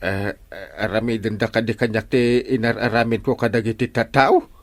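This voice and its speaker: An actor with an Ilocano accent playing a flustered, self-important village captain who stammers and blusters in a radio comedy drama. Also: male voice, on the low side, fairly even intonation, moderate pace, natural voice. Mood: rattled, sheepish.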